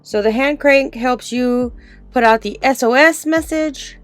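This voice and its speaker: A woman's voice: high-pitched, unhurried, soft background music. A woman giving a casual product review and demonstration, explaining things informally and step by step.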